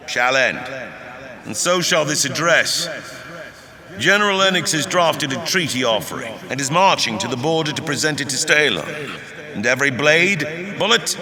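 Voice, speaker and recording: An actor playing a resolute leader proclaiming peace to troops, in formal, declamatory audio drama oratory. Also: male voice, a little high. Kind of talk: audio drama public address. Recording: long hall reverb, crowd behind. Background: crowd.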